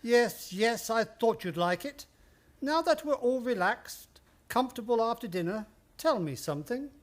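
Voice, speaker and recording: A man's voice: extremely high pitch, lively intonation, a little slow. A man playing a smug, wealthy older stepfather in a radio play, genteel, drawling and needling. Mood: smooth, genial.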